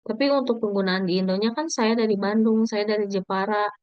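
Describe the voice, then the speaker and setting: A woman teaching a language class, saying model sentences slowly and clearly for students to repeat, then explaining them. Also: mid-range voice, steady tone, dry recording, lo-fi sound. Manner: slow, deliberate model sentences for learners to repeat. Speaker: Mandarin teacher. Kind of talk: language lesson, explaining in Indonesian.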